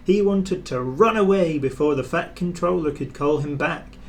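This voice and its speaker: Man reading a children's storybook, slightly high voice. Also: moderate pace. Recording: clear background noise.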